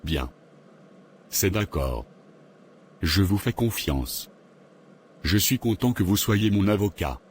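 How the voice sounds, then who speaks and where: Man doing a worried cartoon defendant, very deep voice, slow speech, faint hiss behind. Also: expressive. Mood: relieved. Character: remorseful male defendant, adult man.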